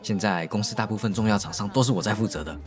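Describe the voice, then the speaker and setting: A man's voice: lowish voice, quick pace, faint music behind, lightly acted. A man voicing an eager, scheming male office coworker with an ingratiating manner. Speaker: voice actor in an audio drama.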